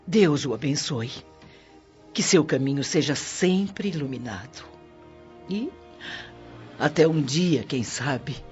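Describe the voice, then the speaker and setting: A woman playing a gentle, loving motherly spirit in a Brazilian radio drama, giving affectionate blessings with a Brazilian Portuguese accent. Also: deep voice, lively intonation, slow pace, pauses often. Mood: tender, wistful. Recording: muffled radio drama with music bed.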